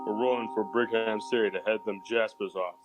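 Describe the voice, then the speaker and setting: Guy doing a folksy old west railroad man, determined, comic dub drama, music loud under him. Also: fairly low pitch, steady tone, brisk, close to an everyday voice, poor audio. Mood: urgent, determined.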